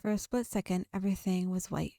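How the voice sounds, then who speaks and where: A woman's voice: medium pitch, quick pace, gravelly. A woman reading visual novel text aloud in a storytelling manner as a gaming video host. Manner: narrative storytelling read-aloud. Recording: game music behind the voice. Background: soft game music.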